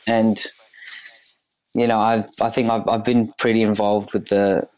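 A man's voice: fairly low pitch, flat delivery, a little mellow, dry recording, low-quality recording. A man in his twenties, born in Ethiopia and raised in Australia, talking easily as a guest in a casual interview with plenty of fillers. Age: 20s. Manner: easygoing, halting, many fillers. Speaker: guest, comedy sketch maker.

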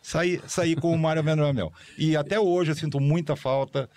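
Lively man telling old radio stories. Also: a little high, moderate pace. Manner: lively storyteller, animated asides. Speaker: guest, former producer. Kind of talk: anecdotal chat.

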